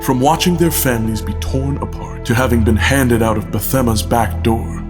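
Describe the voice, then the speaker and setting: A man's voice: medium pitch, normal speed, natural voice. A curt, grudging man voiced by an actor who says as little as he can. His voice carries a long reverb tail. Music sits underneath. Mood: bitter. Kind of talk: audio drama acting.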